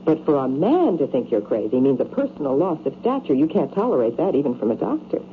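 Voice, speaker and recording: An actress playing a cool, sceptical psychiatrist in an old-time radio drama, questioning in formal, precise phrases. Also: female voice, low-pitched, sing-song, fast. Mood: cool, challenging. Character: cool, probing female psychiatrist, composed and sceptical. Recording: tinny, noisy old radio broadcast.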